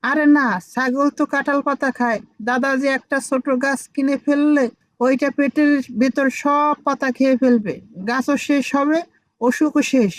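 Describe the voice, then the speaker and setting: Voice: sits high, fairly even intonation, normal speed, no room sound, close to an everyday voice, low-quality recording. An actor voicing a cheeky, confident five-year-old boy who plays doctor in a comic village cartoon. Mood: cocky. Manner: confident, know-it-all.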